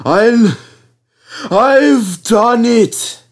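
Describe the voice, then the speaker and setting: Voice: mid-range voice, expressive, drawn-out words, pitched above the performer's own voice, exaggerated voice. A man voicing a proud, stern old steam engine from a storybook, speaking with effort. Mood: gasping, triumphant. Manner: scolding firmly, wheezing with effort.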